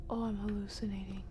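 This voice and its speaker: A woman's voice: medium pitch, background noise. A woman giving casual, playful live game commentary in slangy language, often murmuring to herself and slipping into whispers. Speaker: game streamer.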